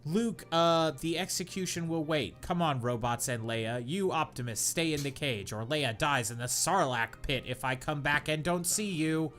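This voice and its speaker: Man, podcast co-host, comedic reading, deadpan, bright tone, faint music behind. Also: on the high side, moderate pace, few pauses. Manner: reads deadpan, breaks into laughter.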